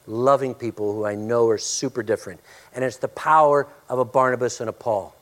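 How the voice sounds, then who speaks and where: A male pastor preaching a sermon and praying, with a loud, forceful voice, emphatic when preaching and hushed in prayer. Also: medium pitch, a little fast.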